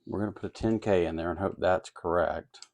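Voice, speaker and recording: A man with a fairly low voice and a strongly creaky, gravelly edge talks through a hands-on task. His delivery is casual and unscripted, as if thinking aloud. He muses and hesitates as he goes. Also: fairly even intonation, a little fast, a little mellow, slight background noise. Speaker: host of an electronics kit-building channel. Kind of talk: casual, unscripted workbench narration.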